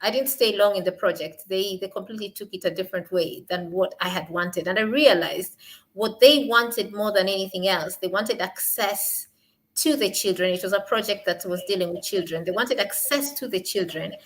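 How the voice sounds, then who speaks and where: A young woman from Kenya with a Kenyan accent, speaking at a panel in an emphatic way that rises to forceful peaks on her key points. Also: medium pitch, normal speed, poor audio. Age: young adult. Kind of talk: impassioned panel talk.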